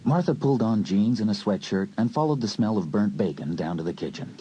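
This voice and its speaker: Deep, mellow male suspense narrator. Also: expressive, fairly quick, dull audio, a little hiss or hum. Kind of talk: scripted suspense audiobook narration.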